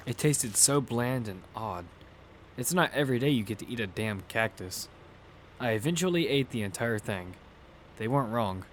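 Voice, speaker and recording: A man's voice: medium pitch, slight background noise, lightly acted. A man acting a weary, grieving widowed father in a survival audio drama. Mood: weary narration. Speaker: narrator and lead actor. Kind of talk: dramatic first-person audio fiction.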